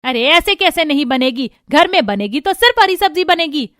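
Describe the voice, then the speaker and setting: A woman's voice: high-pitched, expressive, moderate pace, a bit bright. A woman playing a strict, thrifty mother-in-law who scolds her family in a cartoon. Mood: scolding. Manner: scolding, bossy. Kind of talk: cartoon voice acting.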